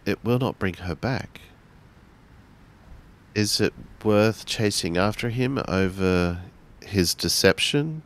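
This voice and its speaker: A man playing a sober, law-minded samurai in a roleplay game, measured and formal. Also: lowish voice. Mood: measured, cautioning.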